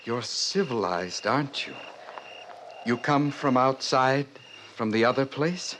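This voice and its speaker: An actor playing an earnest, courteous young outsider man in a 1950s radio drama, speaking in formal, simple, deliberate phrases. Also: medium pitch, animated, fairly bright tone. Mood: courteous, eager. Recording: faintly noisy old radio broadcast.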